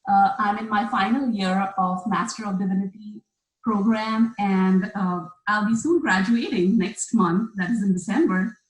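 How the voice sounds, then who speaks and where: Woman panelist, polite self-intro, echoey room sound. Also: mid-range voice. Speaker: graduating divinity student, panelist.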